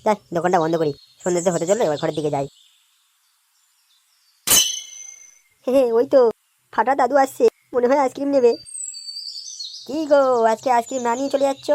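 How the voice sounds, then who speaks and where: A woman's voice: a little high, fast talker, noisy background, lightly acted. A woman voicing a chatty shopkeeper in a cartoon, gossipy and matter-of-fact. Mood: casual, friendly. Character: chatty male village ice-cream shopkeeper.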